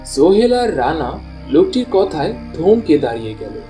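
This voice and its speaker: Mellow male cartoon story narrator. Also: a little high, very animated, moderate pace, soft background music.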